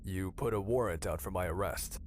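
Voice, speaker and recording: An actor playing a guarded, deferential guard captain in an audio drama, formal and restrained. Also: male voice, deep voice, brisk, a little hiss or hum, close to an everyday voice.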